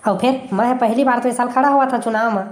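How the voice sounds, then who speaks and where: Woman as a high-voiced, bossy male village candidate. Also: fast, close to an everyday voice. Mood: boastful, persuading. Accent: Chhattisgarhi. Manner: scolding, blustering, colloquial. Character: brash, bossy male village election candidate bribing voters. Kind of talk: comic cartoon dialogue.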